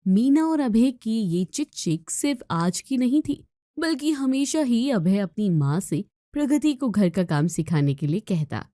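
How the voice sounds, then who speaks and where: A woman's voice: on the high side, very animated, unhurried, warm tone, dry recording, studio quality. A woman narrating a scripted moral story as a storyteller.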